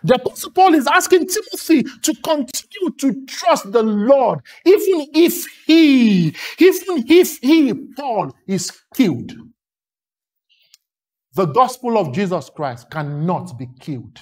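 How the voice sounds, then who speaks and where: A man's voice: very high-pitched, sing-song, takes it slowly, a little mellow. A man from Lagos with a Nigerian accent, preaching a sermon with emphatic, rhythmic repetition and long lists.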